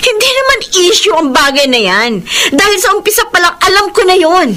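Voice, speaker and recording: Radio drama mistress: impassioned and emphatic, high and animated delivery, pleading melodrama. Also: female voice, a little fast, full character voice. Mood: anguished. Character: impassioned mistress pleading and defending her love for a married man. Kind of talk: Filipino radio drama acting.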